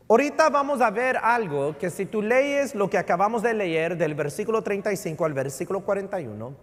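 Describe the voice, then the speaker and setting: A middle-aged pastor from Houston preaching a sermon, animated, with a Texan Spanish accent and English words mixed in. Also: male voice, sits high. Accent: Texan Spanish with English code-switching. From Houston, Texas. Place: church sanctuary. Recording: church sanctuary reverb.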